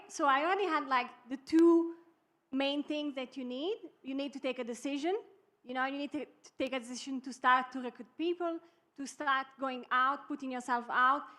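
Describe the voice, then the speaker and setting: High-pitched young woman giving a testimonial. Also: fairly bright tone. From Europe. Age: 20s. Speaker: summit guest, network marketer. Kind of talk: testimonial storytelling.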